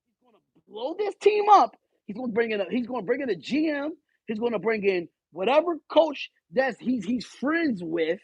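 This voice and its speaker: A man hosting a live stream, loud and animated, swearing and arguing back at his chat. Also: very high-pitched, normal speed, husky, no echo, low-quality recording. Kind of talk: casual live stream rant.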